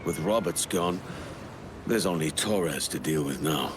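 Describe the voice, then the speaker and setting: A man acting a battle-worn, stoic pirate captain in a video game, with a terse and low-key way of talking. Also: unhurried. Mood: resolute. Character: gruff, battle-worn adult male pirate captain turned assassin. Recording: loud ambient noise behind the voice.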